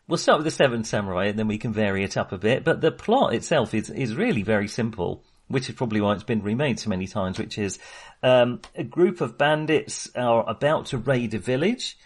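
A man's voice: mid-range voice, lively intonation, normal speed. A man talking casually and informally about films as a podcast co-host, with a chatty, mildly sweary manner. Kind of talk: casual film discussion.

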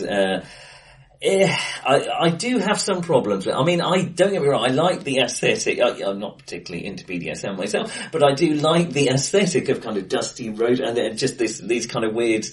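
A man in a casual film discussion, talking in a hesitant, rambling way with frequent restarts, recorded in a bright-sounding room. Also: on the low side, animated, a little fast, distant mic. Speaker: co-host of a film discussion podcast. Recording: bright echoey room, off-mic.

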